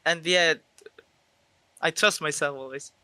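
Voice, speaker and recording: A man's voice: fairly high pitch, steady tone, unhurried, pushed and bright, no echo. A teenage male esports player with a Turkish accent, speaking English as a second language and answering interview questions in a casual, self-assured way. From Turkey. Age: teens.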